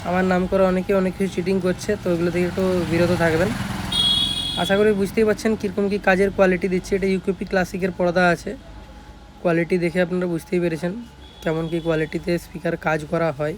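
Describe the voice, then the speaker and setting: A man's voice: high-pitched, little pitch movement, fast, darkish tone, slight background noise, rough recording. A man with a West Bengal Bengali accent casually showing his repair work as a shop owner, informal and repetitive, often checking in with listeners. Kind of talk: casual product showcase vlog.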